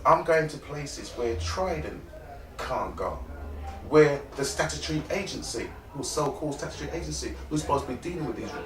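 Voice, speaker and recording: A man's voice: a little high, lively intonation, a little fast, crowd noise. A middle-aged man with a London accent, telling his own story as an interview guest in colloquial, emphatic speech that repeats words for effect. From North London, born in Trinidad and Tobago. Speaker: interview guest, conflict engagement specialist and mediator. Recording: echoey room, distant mic.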